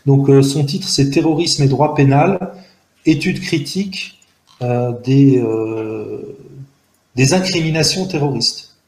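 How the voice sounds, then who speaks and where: A man's voice: medium pitch, slow, darkish tone. Hesitant, earnest man with echoey sound. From Algeria. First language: Arabic. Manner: hesitant, many fillers and restarts, earnest and analytical. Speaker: interview guest. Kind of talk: reflective testimony in an interview. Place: outdoors in a park-like garden by buildings.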